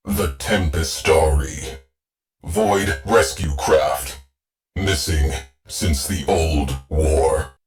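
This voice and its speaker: A man voicing a terse male ship AI in a video game, speaking in clipped, deadpan military phrases. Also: sits low, heavily acted.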